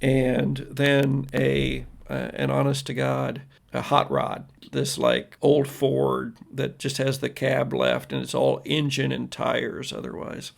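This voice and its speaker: Very creaky-voiced man narrating a game. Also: mid-range voice, completely flat delivery, slow pace, hardly any pauses. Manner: casual, conversational. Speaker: game master. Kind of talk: improvised narration of tabletop roleplaying game.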